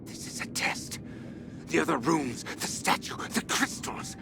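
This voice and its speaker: An actor playing an obsessed researcher sliding into messianic madness in a video game audio log, speaking haltingly and broodingly, at times breaking into whispers or cries. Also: male voice, a little high, vocal fry, noisy background. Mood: hushed, awed. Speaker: expedition leader recording audio logs. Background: background music.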